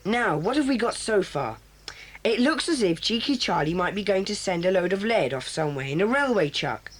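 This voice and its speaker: This is a confident boy club leader, played by a woman in a storybook drama. He speaks at a middle pitch, briskly and decisively. He sounds sure of what the group must do next. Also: expressive, husky. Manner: brisk, takes charge. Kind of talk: audio drama for children.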